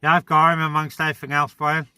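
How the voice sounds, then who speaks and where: A man's voice: on the high side, flat delivery, quick pace, background noise. A man chatting casually and informally as a vlogger and CB radio operator. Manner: matey, informal. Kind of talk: casual radio chat and vlog talk. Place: outdoors on a mountain top.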